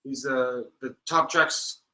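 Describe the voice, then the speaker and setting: A young man, a rugby player guest on a podcast, giving relaxed, short answers. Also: medium pitch, slow. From United States. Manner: laid-back, short answers. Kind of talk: casual interview chat.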